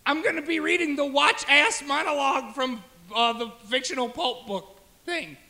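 A man's voice: very high-pitched, normal speed, sharp tone, full character voice. A man playing an eager, clueless young amateur actor who rambles dimly in a comedy sketch. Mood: clueless, hesitant. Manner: rambling, dim-witted, hesitant. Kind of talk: audio comedy sketch acting.

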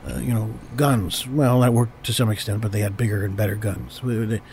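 A man with a cold, hoarse and stammering, hosting an improvised comedy podcast and constantly correcting himself. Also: fairly low pitch, fairly even intonation, a little fast, a little mellow, slight background noise. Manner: rambling, stammering, self-correcting. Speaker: podcast host.

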